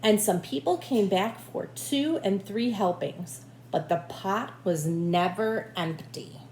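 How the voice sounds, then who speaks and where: A woman teacher reading a picture book aloud in an animated way, with asides to young listeners. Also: mid-range voice, slow. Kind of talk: expressive children's read-aloud with asides to listeners. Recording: echoey room with faint background noise.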